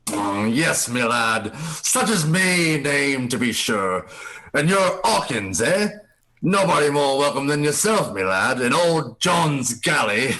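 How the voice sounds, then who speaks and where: A man's voice: medium pitch, very animated, takes it slowly, bright, forceful tone, exaggerated voice. A man playing a genial, wily sailor and ship's cook in a radio play, with folksy seadog talk. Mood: welcoming, jovial. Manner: chuckling, folksy old-seadog talk, drawn out. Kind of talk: live radio play reading.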